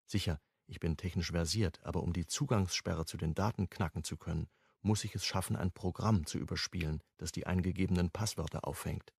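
An actor playing a hunted, wry amateur sleuth, narrating and acting in a crime radio play in a clipped, brooding way. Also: male voice, brisk, darkish tone, dry recording, studio quality, full character voice. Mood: tense, wry. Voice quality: low, husky. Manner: clipped, brooding, first-person telling.

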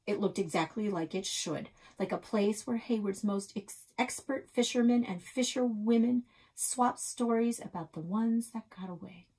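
A woman's voice: medium pitch, moderate pace, a little mellow, slightly rough, rough recording. A middle-aged woman reading a children's novel aloud as a storyteller, recorded in a small office at about arm's length.

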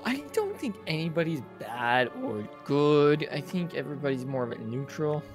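A man's voice: on the high side, big pitch swings, moderate pace, faint music behind. A man voicing a blunt, sarcastic schoolboy sidekick in comedy dialogue, casual and dry. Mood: conspiratorial.

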